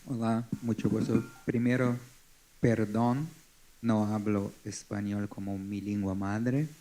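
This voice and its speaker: A man in his forties from Brazil with a Brazilian Portuguese accent, Portuguese his first language, speaking haltingly as a forum panelist. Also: on the low side, flat delivery, dark tone. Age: 40s. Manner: halting, searching for words. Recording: echoey conference hall.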